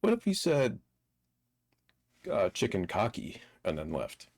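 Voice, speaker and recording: A man chiming in as co-host with offhand, low-key remarks in casual chat. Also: wildly swooping pitch, a little slow, darkish tone, a little hiss or hum.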